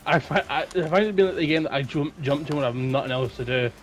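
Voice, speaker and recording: A man co-hosting a casual gaming podcast, talking in a halting way and often repeating his words. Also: fairly high pitch, steady tone, soft background music. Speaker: podcast co-host. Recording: faint background noise.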